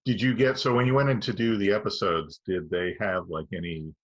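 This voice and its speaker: Lowish male interviewer, dull lo-fi sound. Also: fairly even intonation, a little fast. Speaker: co-host interviewer. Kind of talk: casual interview chat. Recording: dull lo-fi audio.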